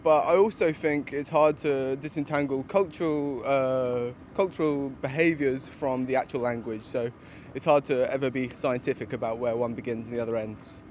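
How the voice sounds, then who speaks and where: A man's voice: mid-range voice, fairly even intonation, moderate pace, a little mellow, slight background noise. A man giving an articulate, reflective answer in a street interview.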